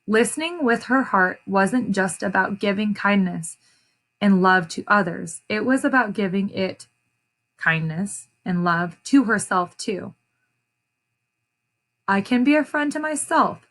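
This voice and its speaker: Slow-paced woman reading aloud to children. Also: mid-range voice, fairly bright tone. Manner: pausing to question and explain to young listeners. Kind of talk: children's read-aloud with explanatory asides.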